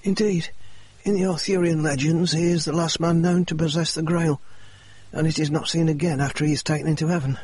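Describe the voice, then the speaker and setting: A composed, precise detective speaks in measured, slightly lowered tones, as a male narrator puts him on. The voice is husky and the recording sits dry and close with no room sound. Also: fairly even intonation, darkish tone, no room sound. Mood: explaining.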